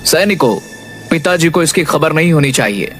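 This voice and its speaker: A man's voice: on the high side, lively intonation, moderate pace, a bit bright. A man voicing a resolute young serpent prince who commands soldiers in a cartoon tale. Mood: determined. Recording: music behind the voice.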